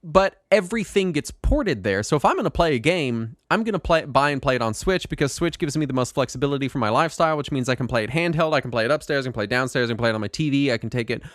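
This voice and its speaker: A man's voice: medium pitch, expressive, fast, few pauses, no room sound, studio quality. A man hosting a casual podcast, a bit congested from a cold, talking in a rambling way with lots of ums and restarts. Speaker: podcast host.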